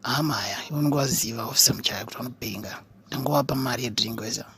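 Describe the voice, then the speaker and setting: A man voicing a cocky, defensive young man in a comedy cartoon, excitable and protesting, with a Zimbabwean accent. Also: mid-range voice, fast, close to an everyday voice. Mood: defensive storytelling.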